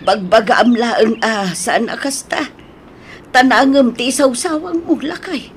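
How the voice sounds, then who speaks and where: A woman's voice: a little high, a little slow, a little hiss or hum, lightly acted. A woman playing an older wife and mother, frank and chiding yet caring, in a comic Ilocano radio drama.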